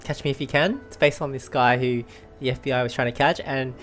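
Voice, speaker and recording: A woman's voice: rumbling low, fairly even intonation, brisk. A woman giving casual, enthusiastic commentary as she plays a video game. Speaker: gaming streamer reacting while playing. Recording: game music behind the voice.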